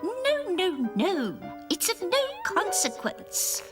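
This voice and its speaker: A bossy old storybook lady rat. Her high voice swings dramatically up and down as she fusses primly. Loud music runs behind her. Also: huge pitch swings, slow pace, heavily acted. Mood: impatient. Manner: fussy, dismissive, prim. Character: bossy, fussy old female rat villain. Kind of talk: animated storybook character acting.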